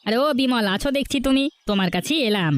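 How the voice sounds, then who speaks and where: A woman's voice: on the high side, animated, fast talker, soft background music, lightly acted. A woman voicing a sly, menacing landlord in a cartoon folktale, threatening and condescending. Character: menacing, sly landlord man demanding a house. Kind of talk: Bengali cartoon folktale voice acting.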